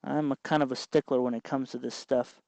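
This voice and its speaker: Male tutorial host, medium pitch, informal and hesitant, working things through aloud, dry recording. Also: flat delivery, fairly quick, vocal fry, a little mellow, dry recording. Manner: hesitant, meandering, thinking aloud while working. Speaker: host of a DIY electronics tutorial. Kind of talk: informal hands-on tutorial commentary.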